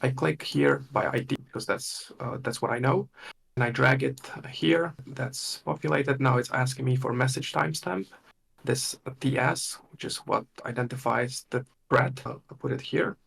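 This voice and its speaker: A man leading a workshop with a live software demonstration, thinking aloud in a halting way and murmuring to himself as he works. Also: mid-range voice, fairly even intonation, creaky, husky. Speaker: workshop instructor.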